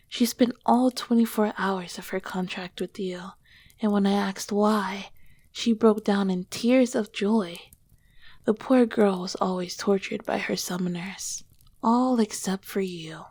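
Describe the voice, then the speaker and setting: Girl doing an ancient demon queen for asmr, super breathy n slow, tender n teasing, whispery, close dry mic. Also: medium pitch, no echo. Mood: confiding, fond. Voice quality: soft, breathy, often dropping to a whisper. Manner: slow, gentle, affectionate. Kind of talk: ASMR roleplay, intimate soft-spoken comfort. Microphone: close microphone, dry.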